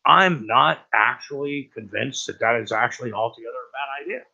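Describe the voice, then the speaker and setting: Raspy man with a cold, bright pushed tone, rambling profane stream host. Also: medium pitch, a little fast, muffled recording. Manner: profane and rambling, lots of "right?". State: has a cold. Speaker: livestream host. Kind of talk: rambling casual talk about news.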